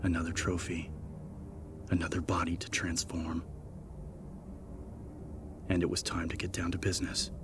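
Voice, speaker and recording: A man narrating dramatic horror fiction in a grave, measured manner. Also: deep voice, monotone, heavy background noise. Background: prominent background music.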